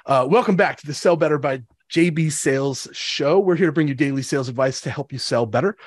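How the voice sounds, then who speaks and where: A man with a cold-stuffed voice hosts a live sales show, pitching it with upbeat, promo-style energy. His voice sits a touch high for a man, and the recording is dry and close with no room sound. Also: a little fast, no room sound. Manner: energetic, hyped promo delivery. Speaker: show host. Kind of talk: live sales webinar hosting.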